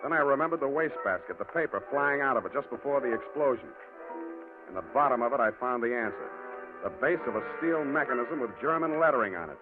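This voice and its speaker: An actor playing a hardboiled private detective who narrates and talks in a clipped, dry way in 1940s radio style. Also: male voice, mid-range voice, animated, fast, lightly acted. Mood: narrating. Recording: tinny old radio broadcast with music.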